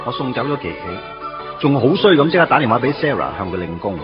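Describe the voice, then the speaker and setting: A man's voice: mid-range voice, sing-song, fast, lightly acted. An actor playing a sarcastic young man who narrates his own story in a Hong Kong Cantonese radio drama, with dry, teasing quips. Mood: narrating. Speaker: lead character and narrator. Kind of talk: 1990s radio drama acting. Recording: dull lo-fi radio recording, faint music.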